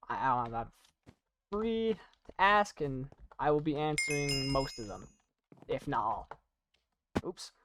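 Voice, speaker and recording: A young adult chatting casually while playing and commentating on a video game, rambling in a halting, self-deprecating way. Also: mid-range voice. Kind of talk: casual gaming commentary and chat.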